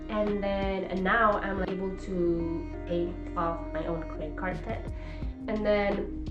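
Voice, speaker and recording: A woman in her twenties from Nepal talks through a casual personal vlog, reflective and chatty with plenty of fillers. Her voice sits at a middle pitch for a woman. The room is echoey, and music plays clearly underneath. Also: fairly even intonation, takes it slowly, dull audio. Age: 20s. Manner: chatty, reflective, many fillers like "like" and "you know". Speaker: vlogger and coach. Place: home in California.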